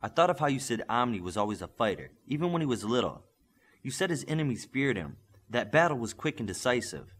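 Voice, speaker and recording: A man voicing an eager young male superhero trainee in an audiobook, earnest and excitable. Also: medium pitch, brisk, husky. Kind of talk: audiobook character acting.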